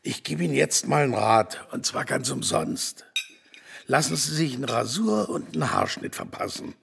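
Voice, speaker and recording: An actor playing a brash, blunt diner counterman with a gravelly, raspy voice in a radio play. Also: mid-range voice, huge pitch swings, moderate pace, a bit bright, exaggerated voice. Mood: blunt, cocky.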